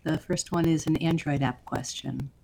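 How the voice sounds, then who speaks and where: A woman's voice: sits low, steady tone, normal speed, vocal fry, a little mellow. A woman reading listener questions aloud in a plain, matter-of-fact way during a livestream.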